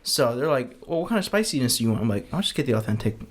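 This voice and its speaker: A man's voice: mid-range voice, big pitch swings, rapid-fire, a little hiss or hum. A young man co-hosting a casual podcast, telling self-deprecating food stories with frequent laughter. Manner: self-deprecating, giggly storyteller. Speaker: podcast co-host. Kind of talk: casual chat among friends.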